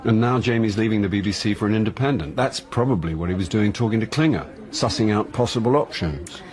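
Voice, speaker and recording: An actor playing a wry, boozy middle-aged English amateur detective in a radio drama, with a dry, droll, wordy delivery. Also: male voice, on the low side, fast talker, darkish tone, close to an everyday voice. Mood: matter-of-fact. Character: wry, boozy, self-deprecating actor turned amateur sleuth.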